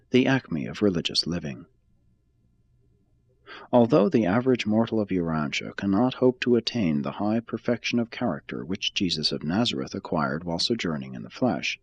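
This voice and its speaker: A man reading a religious text aloud as an audiobook, in a measured, solemn and formal manner. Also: on the low side, dry recording. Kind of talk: scripted read-aloud, audiobook narration.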